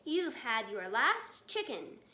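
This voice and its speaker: A woman voicing a fat, vengeful male farmer in a storybook, shouting and taunting. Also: a little high, sing-song, takes it slowly, roomy sound, exaggerated voice.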